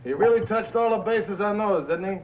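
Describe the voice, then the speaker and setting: An actor playing a brash, boozy, bitter radio executive in a 1950s film drama, talking in glib, slangy, back-slapping patter. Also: male voice, high voice, fairly quick, close to an everyday voice. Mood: boozy, expansive. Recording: tinny, noisy 1950s film soundtrack.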